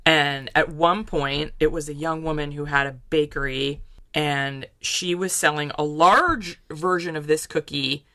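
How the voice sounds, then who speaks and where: Middle-aged woman chatting about cookies on a podcast, bright tone, dry close mic. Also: low voice, fairly even intonation, a little slow, no room sound. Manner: chatty storyteller, laughs easily. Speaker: podcast co-host. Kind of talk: casual chat about baking.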